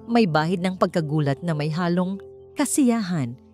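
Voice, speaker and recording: A Filipino woman narrates a dramatic story in a fairly low register. Her tone is soft-edged and mellow, and light music plays underneath the scripted reading. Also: expressive, moderate pace. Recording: faint music bed under the voice. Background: soft background music.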